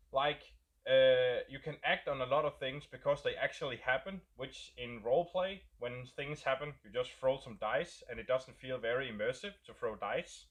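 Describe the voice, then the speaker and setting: A man's voice: mid-range voice, a bit bright. A man with a Danish accent, a game designer casually explaining a new roleplay setup while thinking aloud and searching for words.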